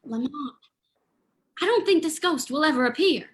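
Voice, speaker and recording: A woman acts a detective's plucky female companion in an old-time radio play. Her voice is high, with an uneasy, curious edge and theatrical delivery. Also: expressive, normal speed, slight background noise. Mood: nervous.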